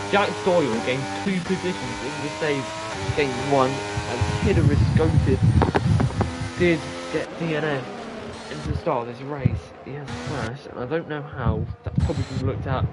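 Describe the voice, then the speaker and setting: A man's voice: fairly high pitch, low-quality recording. A man giving casual, rambling commentary on an online racing league, talking straight to his listeners and losing his voice. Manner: rambling, talks straight to the listeners, excited at big moments. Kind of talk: casual live race commentary. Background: loud music and car engines.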